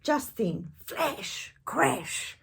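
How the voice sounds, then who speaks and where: A woman reading a picture book aloud to young children, expressive and dramatic, with gentle questioning asides. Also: sits high, drawn-out words, vocal fry, slightly rough. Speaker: children's storytime reader.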